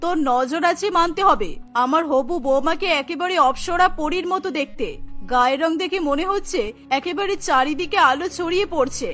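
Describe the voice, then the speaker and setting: A haughty, boastful rich mother from a cartoon , played by a woman. Her voice is extremely high, and she speaks with command over light music. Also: fairly quick, a bit bright. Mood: delighted, boastful. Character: proud wealthy mother of two grown sons. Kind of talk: cartoon story voice acting.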